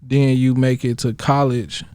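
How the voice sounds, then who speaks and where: A man's voice: mid-range voice, flat delivery, unhurried, darkish tone, smooth, dry recording. A man co-hosting a radio podcast, asking the guest questions in a laid-back, slangy way. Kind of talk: casual interview questions.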